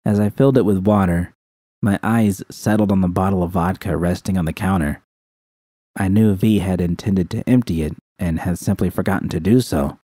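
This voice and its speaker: A man narrates a dramatic first-person story as an audiobook reader. His voice is fairly low and very mellow, recorded dry and close. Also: normal speed, dry recording.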